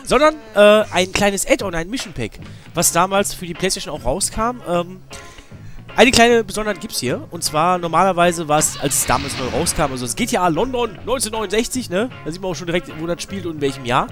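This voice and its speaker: High-pitched male let's player with a cold. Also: animated, brisk, fairly bright tone. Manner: rambling, informal, slangy. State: slightly ill with a cold. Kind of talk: casual let's play commentary. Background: video game music.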